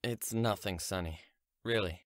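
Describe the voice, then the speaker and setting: An actor playing a troubled, gentle young man who speaks in a subdued, guarded way in an audio drama. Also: low voice, unhurried, no echo, studio quality. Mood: evasive. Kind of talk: naturalistic audio drama dialogue.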